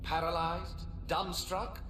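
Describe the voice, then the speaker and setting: An actor playing a zealous, indignant alien prophet in a sci-fi game, haughty, scornful and theatrical. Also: male voice, sits high, flat delivery, takes it slowly. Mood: mocking outrage. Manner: haughty, scornful, dramatic swoops. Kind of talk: cinematic sci-fi game acting.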